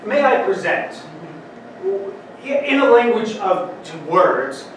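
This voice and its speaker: A man from Colorado performing spoken word poetry and talking to a conference audience, dramatic, slow, swinging between loud and soft and sometimes breaking into song. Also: high-pitched, sing-song. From Denver, Colorado. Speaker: poet and speaker at a conference. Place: large conference hall. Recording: very echoey hall, from far off, noisy.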